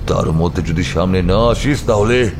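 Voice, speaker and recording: A man's voice: deep voice, normal speed, noisy background, lightly acted. An actor playing a threatening male gang boss in a horror audio drama, commanding and roaring. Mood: furious threat.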